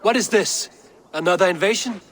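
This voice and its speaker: An actor playing a proud, defiant Norse god and war leader in a dramatic video game. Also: male voice, mid-range voice, unhurried, slight background noise, natural voice. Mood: commanding.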